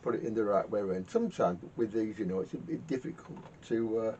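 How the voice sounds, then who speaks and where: A man giving casual hands-on repair commentary in a halting way, muttering to himself and repeating words. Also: animated, dark tone. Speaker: vintage radio repairer hosting a workshop video. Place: garage workshop. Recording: muffled lo-fi sound with rumbling noise.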